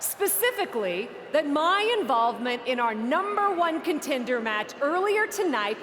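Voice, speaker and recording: A vain, brash young female wrestling boss in a video game. Her voice sits fairly high and swings widely, theatrical and sardonic. There is clear background noise around her. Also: very animated, normal speed. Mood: resolute. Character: brash, vain young woman wrestler turned general manager. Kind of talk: scripted video game cutscene acting.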